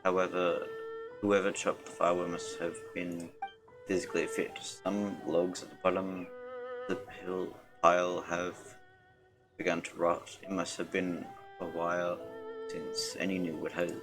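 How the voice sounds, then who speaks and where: A man streaming a game, reading its text aloud in a low-key, halting way. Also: little pitch movement, a bit bright, soft background music. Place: livestream with game music behind.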